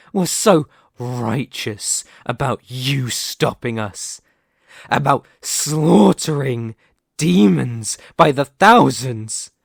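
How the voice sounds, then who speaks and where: A man playing a dominant, vain and possessive demon lord in an ASMR roleplay, speaking formally and theatrically with deliberate dramatic pauses. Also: mid-range voice, creaky, no room sound, studio quality. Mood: indignant, impassioned. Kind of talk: ASMR roleplay character monologue.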